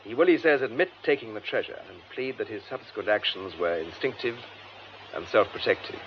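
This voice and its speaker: An actor playing a composed, methodical English police superintendent in a radio drama, with measured, formal, precise delivery. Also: male voice, on the low side, lively intonation. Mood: probing, composed. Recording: muffled lo-fi radio drama, faint noise.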